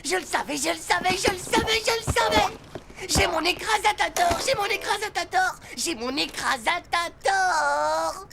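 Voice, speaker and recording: A woman playing an excitable, sulky little schoolboy in an animated series, with a slangy, grumbling, dramatic delivery. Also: very high voice, normal speed, gravelly. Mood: elated.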